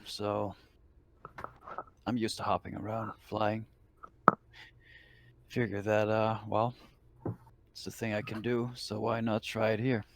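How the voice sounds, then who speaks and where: Deep, gravelly world-weary army medic played by a man. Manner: laconic, dry. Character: gruff, world-weary male military medic. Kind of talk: improvised tabletop role-play.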